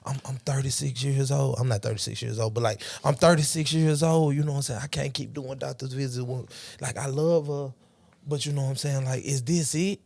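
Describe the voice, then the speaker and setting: A man's voice: medium pitch, steady tone, fairly quick, gravelly, darkish tone. A man, rambling in casual podcast chat and leaning on "you know what I'm saying". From Tennessee, US. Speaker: podcast guest.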